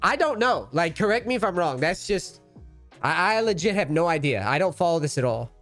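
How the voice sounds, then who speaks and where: A man's voice: on the high side, very animated, brisk, a bit bright, soft background music. A man in his thirties giving casual, rambling commentary as a gaming coach, full of slang and swearing. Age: 30s. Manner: rambling and profane, loose slang. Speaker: League of Legends coach and streamer.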